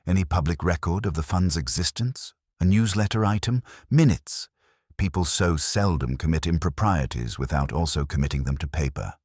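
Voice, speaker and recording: Man voicing a deep, dry police inspector. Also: expressive, darkish tone, breathy edge, no room sound. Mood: politely probing. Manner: polite, deliberate, drily ironic. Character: dry, courteous, observant male police inspector.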